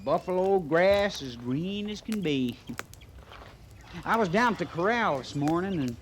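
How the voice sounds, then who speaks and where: An actor playing an easygoing, dreamy cavalry trooper with a rural western American drawl, in old-time radio western style. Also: male voice, high-pitched, lively intonation, measured pace. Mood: dreamy, cheerful. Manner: drawling, folksy, hesitant under pressure. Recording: noisy old radio drama recording.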